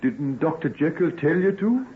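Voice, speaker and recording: Hearty sweetheart, tinny vintage radio. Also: male voice, fairly high pitch, big pitch swings, normal speed, a little hiss or hum. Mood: cheerful, tender. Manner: homely, affectionate, reassuring. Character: hearty, devoted working-class sweetheart Sam, back from abroad. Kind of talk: old-time radio acting. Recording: thin, phone-like old radio recording.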